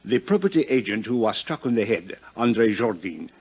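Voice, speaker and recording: An actor playing an urbane, wry French police inspector with a husky voice and elaborate politeness, in a 1950s radio drama. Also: male voice, on the low side, very animated, fairly quick. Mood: matter-of-fact. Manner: suave, droll, elaborately polite. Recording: dull, lo-fi 1950s radio recording.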